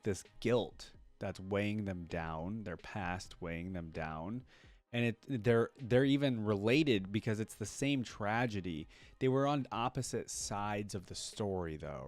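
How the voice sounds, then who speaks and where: A man's voice: lowish voice, no room sound. A man hosting a reaction video, giving casual commentary and musing aloud as he goes. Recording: game audio and music behind.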